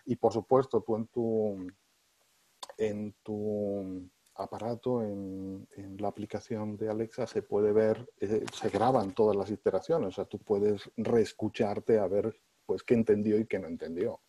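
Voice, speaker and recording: Husky-voiced Spanish-born professor, dry and close. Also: male voice, lowish voice, steady tone, mellow, dry recording. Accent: neutralized Spanish, mixing Spain and Mexico. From Spain. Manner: explanatory, pauses and restarts often. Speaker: interviewed professor. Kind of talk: relaxed conversational interview.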